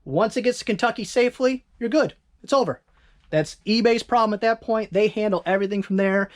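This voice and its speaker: A reseller from Northern Michigan with a Northern Michigan accent, chatting casually on a vlog in a rambling way, correcting themselves with quick asides. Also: medium pitch. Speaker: eBay reseller vlogger.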